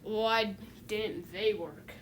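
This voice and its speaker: A woman giving casual, unscripted video essay commentary in a halting, rambling way, often restarting her phrases. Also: mid-range voice, slight background noise.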